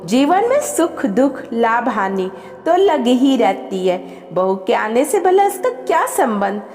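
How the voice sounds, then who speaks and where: A woman voicing a gently dignified, reassuring elderly father-in-law in a family storybook tale. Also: on the high side, big pitch swings, slow pace, in a large hall, heavily acted. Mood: calm, smiling. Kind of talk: storybook narration acting.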